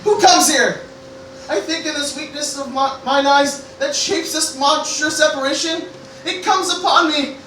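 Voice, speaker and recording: A woman's voice: sits high, steady tone, unhurried, a bit bright. A woman playing an anxious teenage grandson who declaims stammering Shakespearean lines in a comic stage parody. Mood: frightened. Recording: very echoey room, distant mic, noisy.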